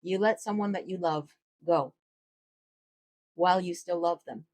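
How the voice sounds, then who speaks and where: Low husky-voiced woman giving spiritual talk. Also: measured pace. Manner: reflective, gently explanatory, with fillers. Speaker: tarot reader and spiritual teacher. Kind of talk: casual spiritual explainer to camera.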